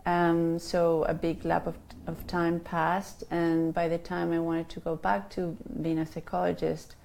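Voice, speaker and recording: Low gravelly Chilean woman. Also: completely flat delivery, a little slow, a little mellow, a little hiss or hum. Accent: Chilean Spanish. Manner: hesitant, many ums and restarts. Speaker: life coach. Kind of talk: interview answers.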